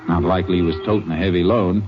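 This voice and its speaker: A man acting a calm, sharp-eyed Texas Ranger in an old radio western, speaking in terse, measured lines. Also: sits low, natural voice. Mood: deductive, assured. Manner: terse, measured, matter-of-fact. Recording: muffled, hissy old-time radio recording.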